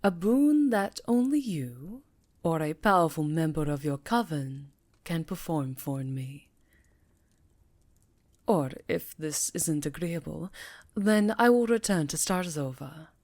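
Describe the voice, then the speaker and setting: A woman's voice: low-pitched, lively intonation, slow, a little mellow, dry recording, full character voice. A woman voicing a regal, sly vampire countess in an ASMR fantasy roleplay, formal and savoring her words with faint menace. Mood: imperious.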